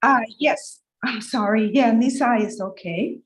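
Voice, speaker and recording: This woman in her sixties speaks slowly, with a voice pitched slightly high. She halts and fills pauses as she shares her thoughts, as a new participant in a casual discussion. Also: husky, no echo. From Thailand. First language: Thai. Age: 60s. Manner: halting, with many fillers.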